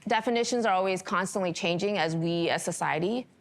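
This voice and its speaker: A woman from Korea speaks on a discussion panel. Her voice sits a little low. She talks earnestly and reflectively. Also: brisk.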